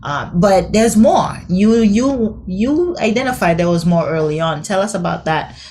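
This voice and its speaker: A woman's voice: medium pitch, expressive, measured pace, dark tone, slight background noise. A woman from Haiti hosting a podcast interview, upbeat and encouraging. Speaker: host.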